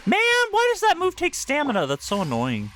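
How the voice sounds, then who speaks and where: Bright, lively young male game streamer. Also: extremely high pitch, fairly quick, a little hiss or hum. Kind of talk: casual playthrough commentary. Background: game audio and music.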